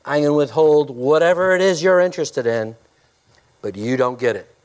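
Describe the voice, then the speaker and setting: A male pastor preaching a sermon and praying, with a loud, forceful voice, emphatic when preaching and hushed in prayer. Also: fairly high pitch, expressive, normal speed.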